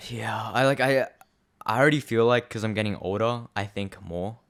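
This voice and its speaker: A man's voice: fairly low pitch, normal speed, a bit bright. A young man co-hosting a podcast, chatting casually and reflectively, rambling with many fillers. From Australia. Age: young adult. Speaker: podcast co-host. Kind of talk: casual chat.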